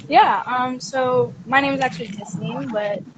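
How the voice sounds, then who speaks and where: A woman's voice: high voice, normal speed. Young woman from Sudan outdoors in wind. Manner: friendly, relaxed, slangy. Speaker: guest. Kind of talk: casual chat. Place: outdoors, windy. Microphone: video call. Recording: noisy windy video call.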